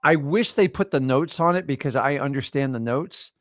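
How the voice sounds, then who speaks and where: A man's voice: a little high, moderate pace, no room sound. Rambling middle-aged man, dry close sound. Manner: rambling, animated storyteller with many fillers. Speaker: host. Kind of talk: casual chat. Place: studio livestream.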